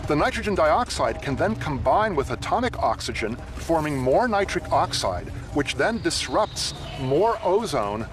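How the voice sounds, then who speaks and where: A man giving measured, step-by-step explanations as an expert being interviewed. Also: on the high side, sing-song, music in the background.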